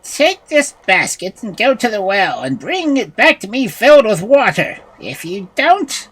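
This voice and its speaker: A cruel, jealous old witch from a storybook, put on by a woman reader. She shrieks her threats high and breathy, her pitch leaping all over. Every line comes out menacing and shrill. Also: big pitch swings, unhurried, a bit bright, heavily acted. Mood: furious.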